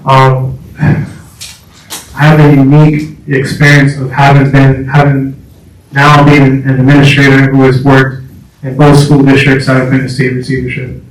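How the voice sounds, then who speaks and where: A man's voice: mid-range voice, completely flat delivery, a little hiss or hum. A man giving a formal answer at a public meeting as an administrator, often repeating and rephrasing himself. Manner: halting, rephrasing and repeating phrases. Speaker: school board candidate, administrator. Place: indoor council meeting room. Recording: echoey room, distant mic.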